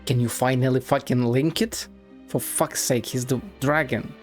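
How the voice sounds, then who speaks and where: A man's voice: mid-range voice, fairly even intonation, moderate pace. A man giving casual game commentary as a streamer, musing aloud with sardonic, sweary remarks. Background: faint game music.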